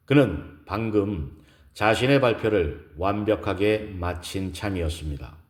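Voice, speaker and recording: Low-voiced man narrating a romance audio drama.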